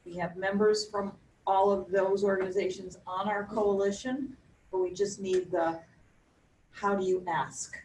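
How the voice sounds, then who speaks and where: A woman from a local coalition asking a question in a group discussion, deliberately raising her voice and chatting. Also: medium pitch, steady tone, darkish tone. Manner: raises her voice on purpose, chatty. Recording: echoey room, far from mic, faint hum.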